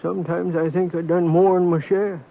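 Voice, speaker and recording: A man's voice: high voice, level tone, moderate pace, creaky, a little hiss or hum. An actor playing a flustered, modest aging townsman in a radio western, stammering and folksy, with an American Western accent. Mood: wistful, confiding. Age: older. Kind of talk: old-time radio western acting. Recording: thin, phone-like old radio recording.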